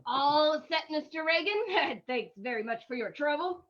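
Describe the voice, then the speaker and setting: A woman's voice: high voice, lively intonation, a little slow, fairly bright tone. A woman playing a trusting, nervous male prospector with a folksy manner in an old-time radio drama, on a dull recording. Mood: grateful. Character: folksy, trusting man, frightened prospector passenger.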